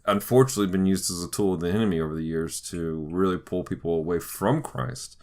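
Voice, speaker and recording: A man hosting a conversational podcast, talking through ideas in a thoughtful, halting way with frequent restarts. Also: low voice, a little mellow. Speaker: podcast host. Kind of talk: conversational podcast discussion of faith and pop culture.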